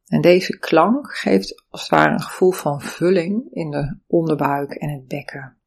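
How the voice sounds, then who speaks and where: Slow, gravelly meditation guide. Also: mid-range voice. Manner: calm, soothing, measured guidance. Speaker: meditation and breathing teacher.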